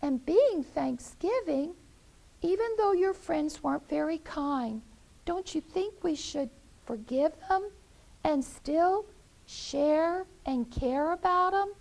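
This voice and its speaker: Cute little baby chicks from a kids story a lady puts on, high and pleading, slow. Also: expressive, vocal fry, a little mellow, exaggerated voice, low-quality recording. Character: small sweet baby chicks, earnest.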